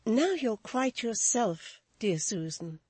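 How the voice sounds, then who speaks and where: A woman voicing a timid young lady who speaks softly and soothingly. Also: fairly high pitch, big pitch swings, slow, slightly rough, no echo, voice pushed up, heavily acted. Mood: gentle. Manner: soft, soothing.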